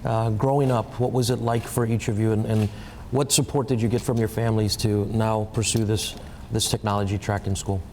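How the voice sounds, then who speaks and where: A man moderating a live panel on stage, talking in a relaxed, halting way with fillers and restarts. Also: lowish voice. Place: event stage in a large hall. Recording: reverberant hall with faint noise.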